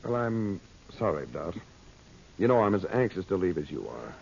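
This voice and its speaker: An actor playing a middle-aged magician sleuth in an old radio serial, commanding but kindly, with a measured, thoughtful way of speaking. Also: male voice, sits low, very animated, vocal fry, darkish tone, full character voice. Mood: concerned. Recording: muffled, hissy old radio recording.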